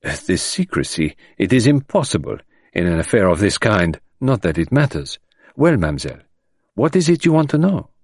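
A man acts out a middle-aged detective from a storybook. His pitch rises and dips freely as he speaks, gently ironical and emphatic, always courteous and shrewd. The sound is close and dry. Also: very animated, normal speed, slightly rough, no room sound, full character voice. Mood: evasive, courteous. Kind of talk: audiobook character voice.

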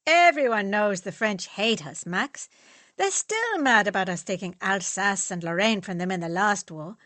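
A woman voicing a lanky, brash young male student who teases his friends and talks loudly. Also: mid-range voice, lively intonation, normal speed, no room sound, lightly acted. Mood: boisterous. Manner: brash, teasing.

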